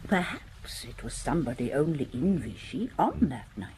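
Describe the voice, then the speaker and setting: An actress playing a detective's middle-aged wife in an audio drama, patient, inquisitive and gently teasing in a wry way. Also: female voice, very deep voice, sing-song, normal speed, a little hiss or hum. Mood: curious.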